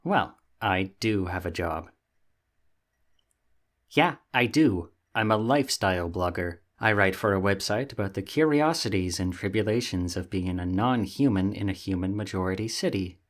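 A sassy young catboy played by a man with a fairly low voice. He is lazy and vain, talking casually and huffily, like a roommate in an ASMR roleplay. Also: expressive, normal speed. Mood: huffy, smug. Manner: sassy, casual, theatrically huffy.

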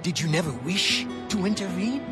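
Man playing an earnest, high-voiced rebel. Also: over music, natural voice. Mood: curious. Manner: measured, earnest, formal. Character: earnest, principled Maroon rebel fighter, adult man. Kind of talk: dramatic video game acting. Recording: loud score, sea and ship noise.

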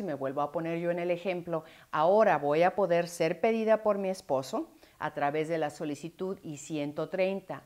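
A middle-aged woman, an immigration lawyer, explaining a legal process to the camera in a clear, earnest way, gesturing with both hands. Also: fairly low pitch. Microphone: lapel mic.

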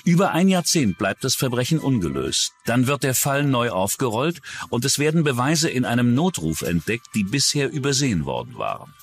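Male documentary narrator, medium pitch, animated delivery, scripted true-crime read. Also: moderate pace, few pauses, soft background music.

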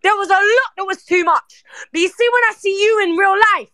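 A woman's voice: very high-pitched, a little fast, pushed and bright, no room sound, clean studio sound. An angry, worked-up woman with a London accent, arguing confrontationally and repetitively in a heated live group voice chat. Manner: confrontational, aggressive, repetitive, swearing.

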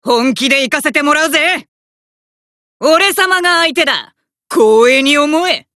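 An actor voicing a cocky, hot-blooded young male fighter in an anime card game, rough-talking and arrogant, with emphatic battle cries. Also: high voice, animated, a little slow, sharp tone, dry recording, studio quality, full character voice. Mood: arrogant, determined.

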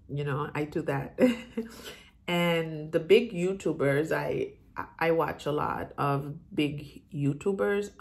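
Female YouTuber, middle-aged, Haitian accent with a Southern US tinge. Low pitch, slow and hesitant, casual Q&A chat. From Haiti, moved to the US as a child and grew up in Florida. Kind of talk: casual chat, answering viewer questions. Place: room in a house, indoors.

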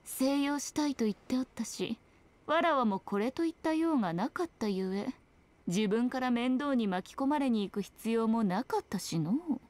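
Sly, high-voiced fox shrine maiden played by an actress. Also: female voice, expressive, moderate pace, a little mellow, natural voice. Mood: aloof. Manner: archaic haughty Japanese speech, playful mock sympathy. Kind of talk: scripted video game dialogue.

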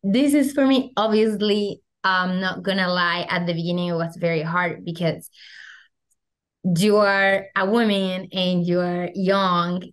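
Young Venezuelan woman, chatty and animated. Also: fairly low pitch, unhurried, clear, resonant tone. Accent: Venezuelan Spanish. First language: Spanish. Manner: animated, gestures a lot, many "like" fillers. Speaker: guest. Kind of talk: casual interview chat. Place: small room at home. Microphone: video call webcam.

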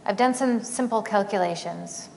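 A middle-aged woman reading aloud from a novel, measured and quiet, seated on a theater stage. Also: medium pitch, gravelly. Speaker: author reading. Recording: long hall reverb, faint noise.

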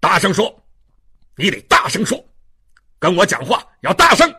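A man's voice: sits high, wildly swooping pitch, extremely slow, husky, voice pushed up, full character voice. A man voicing a brutal, mocking police inspector in a dramatic audiobook, barking with contempt. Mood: domineering. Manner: barking, contemptuous, forceful delivery.

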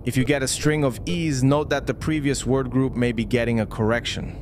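Man narrating instructions over clear background noise. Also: medium pitch, steady tone. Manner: measured, step-by-step instructing. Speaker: tutorial narrator. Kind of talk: scripted instructional narration for a game.

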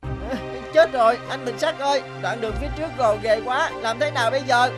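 A woman's voice: a little high, fairly quick, natural voice. A woman voicing an anxious, fragile porcelain vase in a cartoon, fretful and complaining. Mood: worried. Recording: loud music under the voice.

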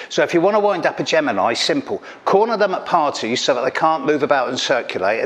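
A middle-aged man from England performing a caustic, teasing stand-up routine on a stage, speaking into a handheld mic. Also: medium pitch. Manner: caustic, teasing, punchy delivery. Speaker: stand-up astrologer. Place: stage of an event hall.

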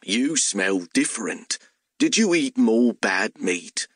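A male narrator performs a talking hellhound from a fantasy audiobook. He is slow and literal, a hungry, childlike beast, heard in a dry, close recording. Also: fairly low pitch, big pitch swings, husky, dry recording, full character voice. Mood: earnest, simple. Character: male talking hellhound, simple-minded, literal, food-obsessed.

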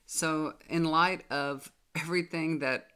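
A middle-aged woman, a tarot reader, speaking slowly and earnestly to her webcam from a small room at home. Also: deep voice, fairly even intonation, bright, forceful tone, smooth. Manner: slow, earnest and solemn. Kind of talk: direct-to-camera spiritual message.

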